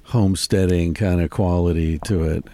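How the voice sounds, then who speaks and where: Laid-back male host, dark mellow tone, easygoing and chatty. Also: low voice, moderate pace, dry recording. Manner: relaxed, rambling, with many restarts. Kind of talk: casual interview chat. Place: front seat of a parked van, door open, rain.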